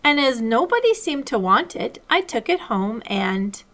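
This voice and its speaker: Woman reading as a pompous storybook owl, emphatic and slow. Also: fairly high pitch, very animated, fairly bright tone, full character voice. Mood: proud, chatty. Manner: emphatic, drawn-out proclamations. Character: pompous, long-winded male owl, self-assured.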